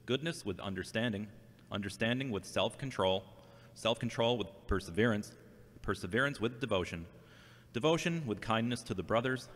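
A man's voice: lowish voice, a bit bright. A man acting an earnest, troubled and devout man in an amateur audio drama. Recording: echoey hall with long reverb.

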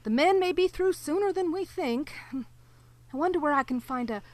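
An actress playing a timid, dutiful sheriff's wife in a live audio drama, talking hesitantly and nervously. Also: female voice, sits high, expressive, moderate pace, no echo. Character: timid prim sheriff's wife, middle-aged woman. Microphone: home closet mic, dry.

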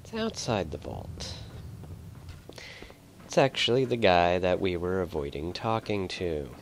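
A man with a low, gravelly voice commentates casually on a video game, often muttering to himself. His pitch moves around a lot, and there is clear noise behind him. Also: very animated, measured pace.

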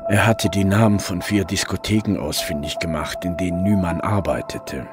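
A very deep male voice of an actor plays a brooding detective recounting his case. It is reflective first-person radio drama narration, with music clearly underneath. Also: normal speed, close to an everyday voice. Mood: reflective, subdued.

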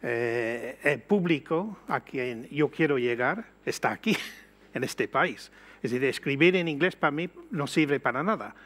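An older man from Britain with an English accent and English as his first language, speaking measured and explanatory as a panel guest on an auditorium stage. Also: medium pitch, lively intonation, a bit bright. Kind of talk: panel discussion. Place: auditorium stage before an audience.

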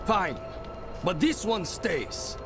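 A fairly high, animated voice an officious young guard in a fantasy game. He sounds stern and self-important over background music. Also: drawn-out words, exaggerated voice. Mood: indignant. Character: officious, indignant young male city guard. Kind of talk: dramatic video game acting.

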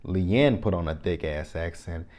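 Low, mellow-voiced Texan man vlogging. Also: measured pace. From Texas. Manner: animated, repeats phrases, talks straight to viewers. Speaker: reality TV recap vlogger. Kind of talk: casual opinionated review to camera. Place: small indoor room, plain backdrop. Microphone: lapel mic.

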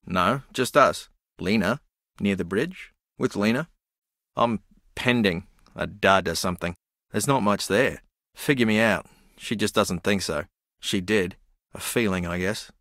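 Game role: earnest, spacey jock, low register, swooping inflection, halting and guileless, very dry sound. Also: male voice, no echo. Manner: deadpan, guileless, halting, rambling. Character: earnest, spacey male rugby-champion reality show contestant. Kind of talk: video game character dialogue.

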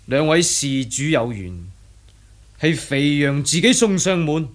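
A bandit chief in monk's robes for a Cantonese radio play, with a Hong Kong accent. He talks slowly in a fairly high voice, sneering with mock piety and real menace. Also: big pitch swings. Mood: mock-pious menace. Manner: sneering, deliberate, theatrical. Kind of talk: Cantonese radio drama acting.

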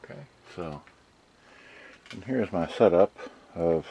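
Male hobbyist, unhurried and low, rambling hands-on demo for a handheld camera. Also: lively intonation, creaky, dark tone, slightly rough, dull audio. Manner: rambling, muttering to himself. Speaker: amateur electronics hobbyist. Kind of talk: casual hands-on demonstration of audio gear. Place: indoors in a room full of audio equipment. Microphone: handheld camera microphone. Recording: noisy handheld camera audio with clicks.

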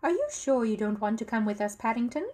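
A woman voicing a genteel middle-aged mother who chats in a bright, raised voice. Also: fairly high pitch, lively intonation, brisk, voice pushed up. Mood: chatty.

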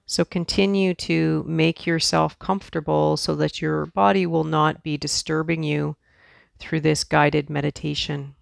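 A woman teaching yoga guides a meditation softly and soothingly, leaving long pauses between her instructions. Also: low voice, little pitch movement, vocal fry, no room sound, clean studio sound.

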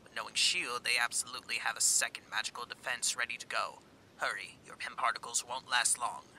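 A man's voice: mid-range voice, little pitch movement, brisk, bright, forceful tone. A man playing a scheming, gloating supervillain with a commanding, theatrical delivery in a superhero audio roleplay. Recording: noisy sound-effect ambience behind.

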